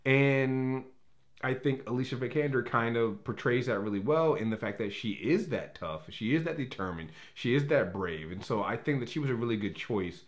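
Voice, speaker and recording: A man's voice: mid-range voice, lively intonation, normal speed. A man hosting a movie review in a casual, unscripted way, rambling and opinionated, often backtracking as he talks.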